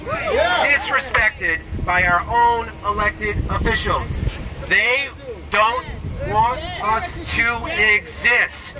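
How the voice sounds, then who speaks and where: A man's voice: high voice, big pitch swings, extremely slow, rough recording. A man with a big voice emceeing an outdoor rally, calling out to the crowd and introducing speakers. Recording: loud crowd and street noise at an outdoor rally.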